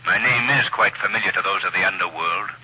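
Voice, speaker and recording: An invisible crime-fighter interrogates with measured, menacing calm. His voice is low and husky but bright on top. It sounds like a vintage radio thriller. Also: heavily acted, poor audio. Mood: commanding. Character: mysterious invisible male crime-fighter, cool and commanding. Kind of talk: 1940s radio acting.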